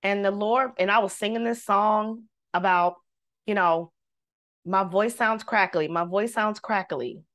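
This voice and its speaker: Woman singer, animated storyteller, middle-pitched voice, casual chat. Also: a little slow. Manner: animated storyteller, acts out quotes, devout. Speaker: guest. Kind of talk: personal story in casual conversation.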